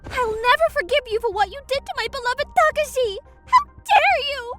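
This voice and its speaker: A woman voicing a sweet, spirited young aspiring actress in an animated manga drama, girlish and expressive. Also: very high voice, moderate pace, soft background music, heavily acted. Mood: theatrical outrage.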